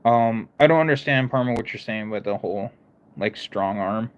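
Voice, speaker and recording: A man's voice: lowish voice, normal speed, clean tone. A young man streaming live who talks with a mixed American accent, part northern and part southern, chatting loosely with viewers and often stopping to restart his sentences. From United States. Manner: rambling, stops and restarts, talks to chat. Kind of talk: casual live-stream chat.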